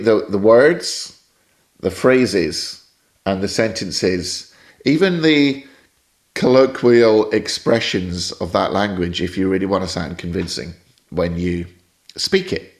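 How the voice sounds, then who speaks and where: A man from Scotland with a British accent, a guest jazz saxophone teacher giving thoughtful, explanatory answers in a podcast interview and wandering off on tangents. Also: on the low side.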